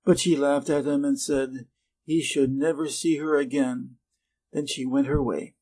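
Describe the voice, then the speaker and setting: A man from Wisconsin with an American accent, reading a fairy tale aloud slowly and clearly for English learners. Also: mid-range voice, fairly even intonation, darkish tone, rough recording. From Wisconsin, USA. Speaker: reader. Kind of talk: storybook reading.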